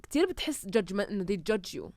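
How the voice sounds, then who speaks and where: Young female co-host, very fast, animated, code-switching, dry close sound. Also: medium pitch, dry recording. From Palestinian raised in Dubai. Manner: animated, switches between English and Arabic. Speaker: podcast co-host. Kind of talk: casual chat.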